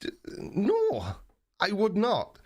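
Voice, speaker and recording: A man's voice: high voice, extremely slow. Aussie bloke in his 40s, live stream chat, laid back, lots of ums. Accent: Australian. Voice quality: relaxed, plain-spoken, frequent ums and repeats. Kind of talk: casual chat reading viewer comments.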